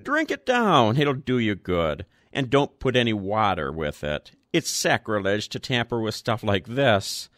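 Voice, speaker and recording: A man's voice: lowish voice, big pitch swings, measured pace, few pauses, a bit bright, dry recording, clean studio sound. A man voicing a hearty middle-aged office boss who talks in a bluff, emphatic way.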